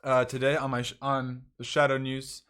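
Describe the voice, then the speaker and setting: A man's voice: mid-range voice, normal speed, clear, resonant tone. A man hosting a casual livestream news show, talking loosely with frequent fillers and restarts. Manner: relaxed, hesitant with many fillers. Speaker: host.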